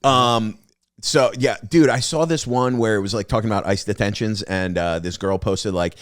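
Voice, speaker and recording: A man's voice: on the low side, normal speed, no echo. Story-telling man with a dry sound. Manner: animated storytelling, acting out quotes. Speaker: guest comedian. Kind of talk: casual comedy podcast chat.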